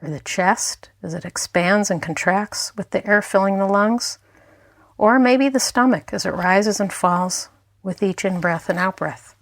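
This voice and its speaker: A woman's voice: medium pitch, dry recording. Woman guiding a meditation, dry close sound. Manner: gentle, unhurried guiding with pauses. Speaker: mindfulness instructor. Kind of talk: guided meditation narration.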